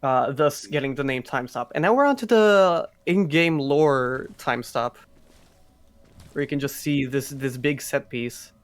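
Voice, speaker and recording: A man's voice: mid-range voice, measured pace, smooth. A man giving casual live commentary on a speedrun, explaining as he goes in a hesitant, restarting way.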